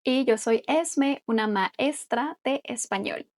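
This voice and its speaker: Chatty Mexican woman, a bit high. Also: takes it slowly. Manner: chatty and explanatory, with frequent light laughs. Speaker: Spanish teacher, podcast co-host. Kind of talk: casual conversational podcast chat.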